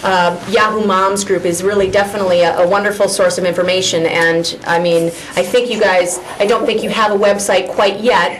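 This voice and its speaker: A woman's voice: medium pitch, a little hiss or hum, low-quality recording. A woman hosting a local TV talk show in a small studio, chatting and teasing young guests playfully. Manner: playful, teasing, jokes with kids. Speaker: host.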